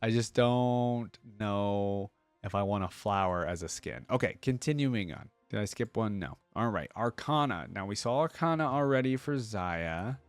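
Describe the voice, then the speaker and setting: A man's voice: on the low side, lively intonation, normal speed, clear, resonant tone, no room sound. A man hosting a reaction video, giving casual, informal commentary and thinking aloud as he goes.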